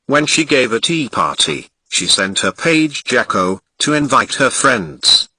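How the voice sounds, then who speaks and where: A Ghanaian man narrates an old nursery tale with an expressive touch, leaning into the descriptive words. His tone is strikingly bright and sharp, and his pitch swings lively up and down. The recording is dry and close. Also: unhurried, slightly rough, no echo, low-quality recording. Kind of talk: storybook reading.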